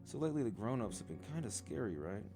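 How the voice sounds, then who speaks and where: A man voicing a bright, worried young village girl. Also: medium pitch, sing-song, fast talker, creaky, music in the background. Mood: anxious.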